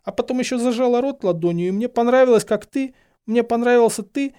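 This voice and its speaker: A man's voice: sits high, huge pitch swings, fairly quick, a little mellow, voice pushed up. A man voicing a distraught, bossy and anxious teenage witch girl in an audiobook, whimpering and exclaiming. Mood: tearful, flustered. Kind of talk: dramatised fan fiction audiobook.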